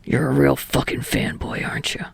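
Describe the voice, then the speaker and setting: A woman voicing an irritable, guarded young man in an audiobook story, with a low raspy growl and a terse, grumbling manner. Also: moderate pace, no echo, close to an everyday voice. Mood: grudging. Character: gruff, irritable young male actor, foul-mouthed, guarded.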